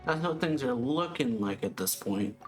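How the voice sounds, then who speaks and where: A woman's voice: a bass voice, lively intonation, moderate pace, music in the background. A woman giving casual, laid-back commentary as she plays a game, often in short murmured reactions. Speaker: let's play streamer.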